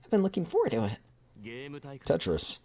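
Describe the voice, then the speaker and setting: A streamer chatting casually in a rambling, repetitive, excitable way while doing a voiced readthrough of a game story. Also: mid-range voice, normal speed.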